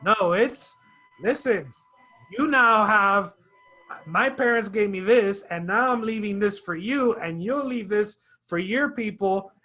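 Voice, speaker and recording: Bright, high-voiced persuasive man. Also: slow pace, soft background music. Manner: emphatic and persuasive. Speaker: real estate webinar host. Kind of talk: webinar conversation.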